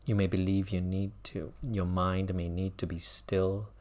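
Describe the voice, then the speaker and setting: A man leading a guided mindfulness meditation as a teacher, speaking gently with long pauses between phrases. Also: low voice, little pitch movement.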